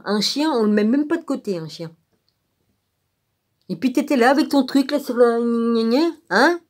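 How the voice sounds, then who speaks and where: A woman's voice: a little high, darkish tone. A woman on a rambling informal rant, blunt and sarcastic, with crude colloquial phrasing and frequent rhetorical tags. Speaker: vlogger.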